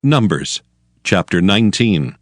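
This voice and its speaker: A man's voice: low-pitched, very animated, unhurried, faint music behind. A man narrating scripture in a scripted, dramatized audio reading.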